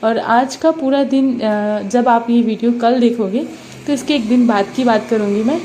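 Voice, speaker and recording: A woman's voice: fairly high pitch, normal speed, warm tone, slight background noise, poor audio. A young woman vlogging about her study routine, earnest and encouraging, with a sore throat from a cold. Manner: earnest, encouraging, mixes English words into Hindi. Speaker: study vlogger, mother preparing for police exam. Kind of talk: casual motivational vlog talk.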